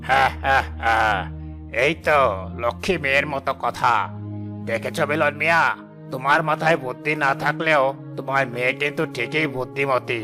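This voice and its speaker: Man playing a scheming village headman over loud music. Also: mid-range voice, normal speed, lightly acted. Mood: gloating. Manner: commanding, calculating. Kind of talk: cartoon voice acting.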